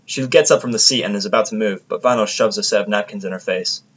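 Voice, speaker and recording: A man's voice: fairly low pitch, fast talker, a bit bright, slightly rough. A man narrating a first-person audio drama from a script, with a sardonic manner.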